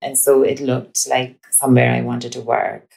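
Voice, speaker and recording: Woman from india, super low and gravelly, casual over a video call. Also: fairly even intonation, moderate pace, darkish tone. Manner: hesitant, fillers, laughs easily. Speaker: guest. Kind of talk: casual interview chat.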